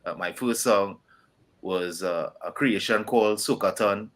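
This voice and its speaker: Low-pitched Trinidadian man, relaxed interview chat. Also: unhurried. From Trinidad and Tobago. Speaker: guest musician. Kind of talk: casual interview talk.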